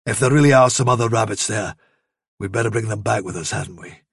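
A steady male rabbit patroller answers calmly and obligingly, as a man reads him. His voice is slightly husky and unhurried. The storybook recording is close and dry. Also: on the low side, no echo, pitched below the performer's own voice.

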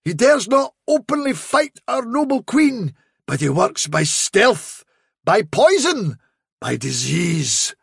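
A man's voice: sits high, very animated, slow, vocal fry, breathy edge, no echo, studio quality, exaggerated voice. A man doing a sly trickster rabbit. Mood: solemn, sly. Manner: sly, solemn, dramatic warnings. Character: cunning male trickster rabbit posing as a fairy.

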